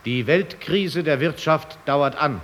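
An actor playing a sober 1930s news announcer, delivering grave bulletins formally and emphatically in a radio drama. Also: male voice, fairly high pitch, takes it slowly, a bit bright.

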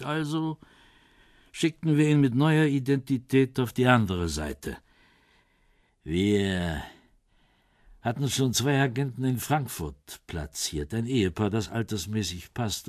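An actor playing a heavyset older former East German intelligence officer in a radio crime drama, defensive and sardonic, halting between pride and evasion. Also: male voice, mid-range voice, darkish tone, no room sound, studio quality.

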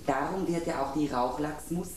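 A woman's voice: low-pitched, moderate pace, darkish tone, roomy sound, slight background noise, lightly acted. A woman voicing a cynical, suspicious silver table knife in a radio play, with a dry, sardonic manner. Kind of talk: German radio play acting.

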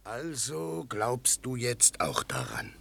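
An actor playing a wary, sardonic Lakota chief in a German radio play, with a husky voice and a dry, mocking manner. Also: male voice, medium pitch, wildly swooping pitch, slow pace, bright, forceful tone, exaggerated voice.

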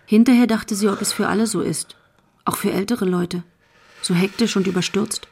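A woman's voice: medium pitch, steady tone, moderate pace, vocal fry, a little mellow, a little hiss or hum. A woman giving scripted literary narration as the narrator of a radio drama. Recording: music bed under the voice. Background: music.

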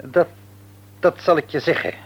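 A man's voice: a little high, moderate pace, slightly rough. An actor playing a shrewd, patronising middle-aged father and businessman in a Dutch radio play, wordy and probing, with a Netherlands accent. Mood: probing, chummy. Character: shrewd, patronising businessman father, outwardly jovial, secretly domineering. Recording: radio drama recording.

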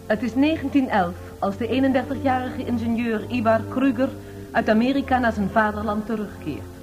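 A woman's voice: on the high side, a little fast, a little mellow. A woman delivering scripted, dramatic narration for a historical radio play. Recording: radio drama with faint music.

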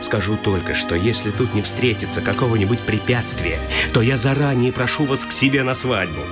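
An actor playing an impulsive, lovestruck young gentleman in a period comedy radio play, effusive, rhetorical and theatrical. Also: medium pitch, a little fast, over loud music, close to an everyday voice. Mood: determined, elated.

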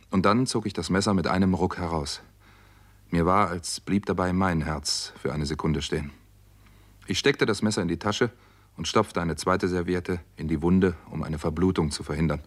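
Sober doctor telling a crime story, really low voice, measured and dramatic, old radio play. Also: male voice. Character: American doctor Steve Mason, sober crime-story narrator. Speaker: first-person narrator and lead actor. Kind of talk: 1960s German radio crime drama narration.

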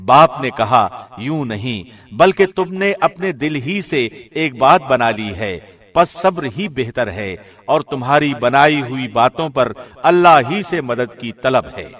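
A man's voice: mid-range voice, lively intonation, a bit bright. A man reading a scripted translation of scripture as a professional radio presenter. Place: studio.

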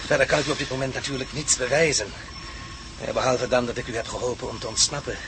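A man's voice: mid-range voice, steady tone, fast, noisy background, lightly acted. A man playing a courteous, earnest Prussian nobleman with a German accent in a Dutch radio drama. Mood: earnest, explaining. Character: courteous Prussian nobleman, defector from a villain's camp.

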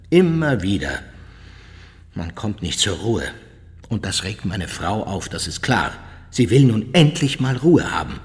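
An actor playing an older, unrepentant and boastful German ex-officer, reminiscing huskily in a documentary radio drama monologue. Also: male voice, lowish voice, husky, full character voice. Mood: aggrieved, weary. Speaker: actor reading a war criminal's recollections.

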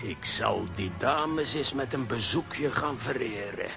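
An actor playing an excitable, easily flustered comic man who stammers and repeats himself in a children's radio play. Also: mid-range voice, takes it slowly, vocal fry, music in the background. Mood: eager.

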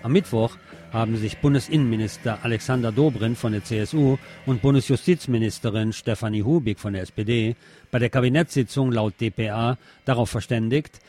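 A man's voice: mid-range voice, mellow. A man presenting scripted news commentary as a radio presenter, with pointed, sardonic phrasing.

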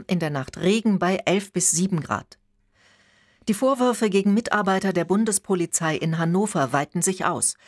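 A woman with a standard German accent anchors a radio news bulletin in a fairly low voice. Her reading is formal and factual. The sound is dry and close, with no room to it. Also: no room sound.

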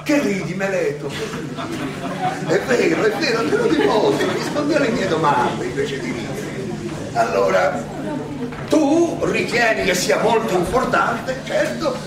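An actor playing a defiant, ironic old philosopher defending himself at trial, in a theatrical monologue full of rhetorical questions and dramatic pauses. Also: male voice, high-pitched, big pitch swings, over a noisy crowd. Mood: taunting, challenging. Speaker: stage actor. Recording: echoey, distant, noisy stage recording.

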